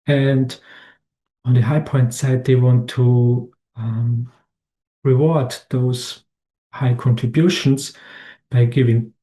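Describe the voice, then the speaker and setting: Halting Austrian-born man giving a webinar. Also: medium pitch, mellow. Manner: halting, many restarts and fillers. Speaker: webinar presenter. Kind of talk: slide presentation talk.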